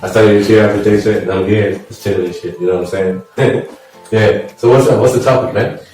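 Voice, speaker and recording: A man's voice: on the low side, fairly even intonation, quick pace, a little mellow. A young man from Zimbabwe in a casual panel chat, talking in slang and mimicking other people, full of energy. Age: young adult. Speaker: panel guest. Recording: echoey room, mic at a distance, music underneath.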